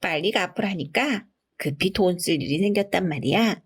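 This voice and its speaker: Storybook villain, played by a female reader: greedy rich man, pompous, sly, demanding, with animated swings. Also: on the low side, moderate pace, slightly rough. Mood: haughty, demanding.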